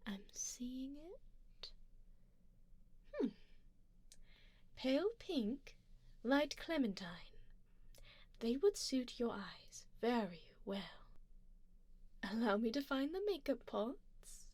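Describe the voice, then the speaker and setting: A woman playing an elegant, doting vampire duchess in an ASMR roleplay, speaking softly and breathily, often in whispers, with formal, coaxing deliberation and faint menace. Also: fairly high pitch, very animated, extremely slow, long pauses, breathy edge, no echo, exaggerated voice. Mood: appraising, pleased. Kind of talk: ASMR roleplay, soft personal-attention scene.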